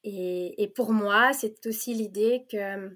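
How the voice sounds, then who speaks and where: A woman from Provence who grew up in Belgium speaks as a guest in an easy conversation. She pauses often, fills gaps with small sounds and restarts her sentences. Her tone stays earnest and heartfelt. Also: mid-range voice. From born in Provence, raised in Belgium. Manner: hesitant, many fillers and restarts, warm and earnest. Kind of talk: casual conversation.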